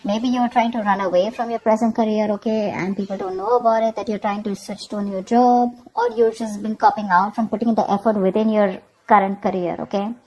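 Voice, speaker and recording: A woman's voice: mid-range voice, fairly quick, hardly any pauses, a little mellow, a little hiss or hum, rough recording. A woman giving an improvised tarot reading, speaking conversationally to the viewer and often checking in with little tag questions. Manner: conversational, often checking in with okay and you know. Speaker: tarot reader and channel host.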